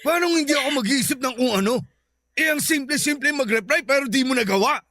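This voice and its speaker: A man's voice: very high-pitched, fast talker, pushed and bright. A man playing a possessive, hot-tempered young boyfriend who pleads dramatically in a Filipino radio drama. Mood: irritated.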